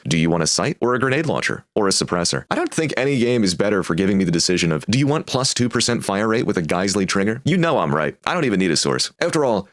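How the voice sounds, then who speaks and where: A man's voice: low-pitched, no room sound, studio quality. A man delivering scripted opinion narration for a video essay in a sardonic, wordy manner.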